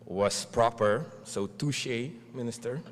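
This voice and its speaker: A man's voice: mid-range voice, slow pace. A man with a Dutch accent, Dutch as his first language, emceeing a formal event and reading speaker introductions from a screen with wry asides. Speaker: master of ceremonies introducing speakers. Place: large conference hall. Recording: long hall reverb.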